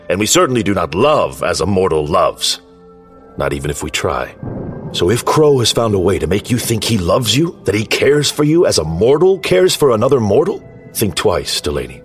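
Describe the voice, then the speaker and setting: An actor playing a solemn, wary god in mortal form, speaking in a grave, measured, formal way in an audio drama. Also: male voice, low voice.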